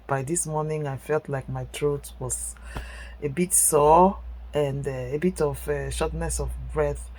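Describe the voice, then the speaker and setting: A woman's voice: deep voice, fairly even intonation, unhurried, darkish tone, a little hiss or hum, low-quality recording. A middle-aged woman vlogging from bed at home while sick, stuffy and chesty, hesitant with many fillers but staying upbeat. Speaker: vlogger, nurse. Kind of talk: casual story-time vlog.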